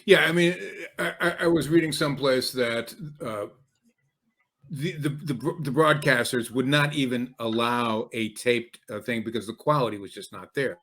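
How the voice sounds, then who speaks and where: A man hosts a relaxed, conversational interview. His pitch is medium, and his delivery is halting and rambling, with sentences restarted midway. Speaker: interview host. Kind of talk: casual interview chat.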